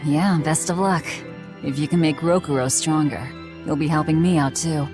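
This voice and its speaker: A woman's voice: deep voice, steady tone, moderate pace, loud music behind the voice, lightly acted. An actress playing a tough young woman fighter, dry, terse and mission-minded, in a video game.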